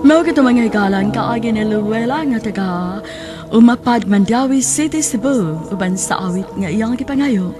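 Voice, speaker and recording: A woman radio announcer with a Cebuano Filipino accent delivers scripted narration. Her voice is fairly high as she reads out a drama programme's closing notices. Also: expressive, normal speed, hardly any pauses, loud music behind the voice.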